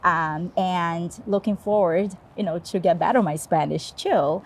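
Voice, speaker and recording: A woman's voice: lowish voice, measured pace, a little hiss or hum. A woman with a Brazilian Portuguese accent, a guest speaking English as her second language, talking casually and often adding "right?". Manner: fillers, frequent tag "right?". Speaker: HR business partner, guest. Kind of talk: casual interview talk.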